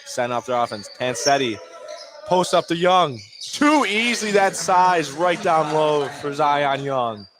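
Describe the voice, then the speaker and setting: A man's voice: on the high side, expressive, takes it slowly. Man calling live basketball in a noisy gym. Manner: clipped short phrases, exclaiming on big plays. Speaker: play-by-play basketball announcer. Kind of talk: live radio sports commentary. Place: indoor gym during a live game. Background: bouncing ball and gym noise.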